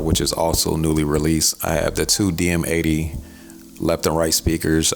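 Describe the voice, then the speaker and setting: A man's voice: extremely low pitch, creaky. An American man hosting a casual product review, speaking in a conversational, colloquial way. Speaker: audio gear reviewer and host.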